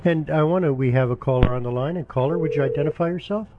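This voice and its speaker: A male radio host interviewing an author and taking calls, asking long, relaxed questions. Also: fairly high pitch, quick pace. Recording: dull lo-fi sound.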